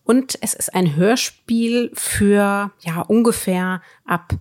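A woman's voice: mid-range voice, slow pace, a little mellow. A woman co-hosting a book podcast in casual, spontaneous talk, wordy and repeating words for emphasis.

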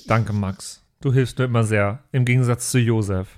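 A man's voice: mid-range voice, steady tone, moderate pace, noisy background. A man in casual comedic podcast banter, with a playfully mock-naive manner. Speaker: podcast host and player.

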